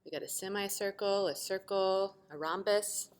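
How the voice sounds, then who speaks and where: A woman teacher reading a storybook expressively to children, pausing to explain simply and ask questions. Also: medium pitch. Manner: animated, asks the listeners questions.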